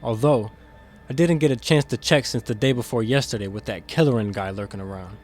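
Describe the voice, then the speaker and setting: A male actor voices a guarded demon who carries his guilt into every word. He is earnest and quick to defend himself, in a middling male pitch. It is audio drama, with music playing behind him. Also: darkish tone, close to an everyday voice. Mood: discreet, earnest. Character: guarded, conscience-stricken demon insider smuggling secrets to captive.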